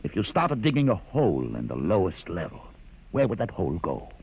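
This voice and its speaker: An actor playing a commanding, scornful older rebel guide in a 1950s radio drama, with a theatrical, sardonic delivery. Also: male voice, sits low, huge pitch swings, brisk, breathy edge, exaggerated voice. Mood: insistent, exasperated. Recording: muffled, noisy 1950s radio recording.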